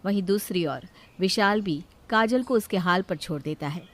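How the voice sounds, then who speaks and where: A woman's voice: mid-range voice, normal speed. A woman storyteller reading a scripted narration.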